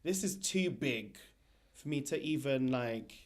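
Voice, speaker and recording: A man's voice: medium pitch, a little slow. A man in his thirties who grew up in Saudi Arabia, a podcast co-host chatting casually with frequent restarts. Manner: relaxed, restarts often, frequent agreeing yeahs. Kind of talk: casual chat.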